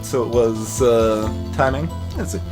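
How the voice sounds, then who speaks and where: A man's voice: mid-range voice, a little slow. A man giving casual commentary as he plays a video game, thinking aloud. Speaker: let's play host. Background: faint game music.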